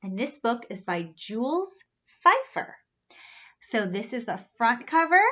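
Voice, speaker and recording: A woman reads a picture book to children with a high, very lively voice. She goes slowly, asks questions theatrically and marvels at the story. The recording is slightly dull. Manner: theatrical, questioning, gasping with wonder. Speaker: story reader, children's teacher-like host. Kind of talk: animated children's storybook read-aloud.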